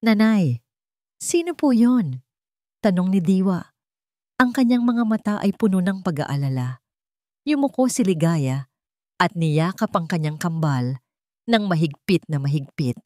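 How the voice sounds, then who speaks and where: A woman's voice: fairly low pitch, lively intonation, normal speed, long pauses, a little mellow, no echo, studio quality. A woman with a Filipino accent narrating a dramatic scripted love story.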